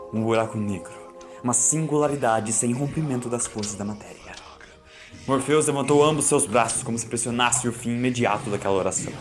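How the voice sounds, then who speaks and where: A man narrating an audiobook in a solemn, theatrical, literary style, with loud music playing behind him. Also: medium pitch. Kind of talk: dramatic scripted first-person narration.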